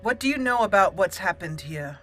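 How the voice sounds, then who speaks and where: A woman roleplaying a composed female elf mage in a fantasy game, speaking formally and deliberately. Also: medium pitch, expressive, a bit bright, soft background music, lightly acted. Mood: composed, questioning.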